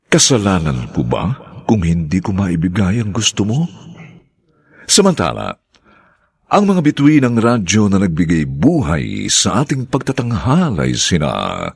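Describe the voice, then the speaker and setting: A man's voice: low-pitched, vocal fry, husky, no room sound, clean studio sound. A man, the show's radio announcer, formally reading the closing credits of a drama broadcast. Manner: measured, formal.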